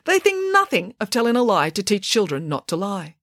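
A woman voicing a confident, bossy nanny pig who tells stories in a brisk, emphatic way. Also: mid-range voice, huge pitch swings, fairly bright tone, no room sound, clean studio sound, pitched above the performer's own voice. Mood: assured.

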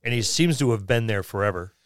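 A man's voice: lowish voice, lively intonation, fast, no room sound, studio quality. A man co-hosting a podcast, talking casually and conversationally about tabletop roleplaying. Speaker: podcast co-host.

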